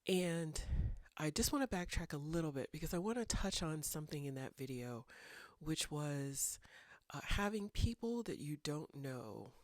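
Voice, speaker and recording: A woman's voice: low voice, expressive, moderate pace, no echo. A woman in her thirties hosting a writing vlog, a little congested from a cold, talking thoughtfully and meandering with fillers. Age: 30s. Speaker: writing channel host. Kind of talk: casual talking-head vlog.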